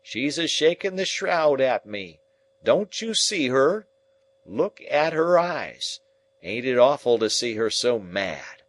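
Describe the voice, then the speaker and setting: A man voicing a dying man raving in terror at a ghost, shrill, frantic and pleading. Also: animated, unhurried, lightly acted. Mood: terrified screaming.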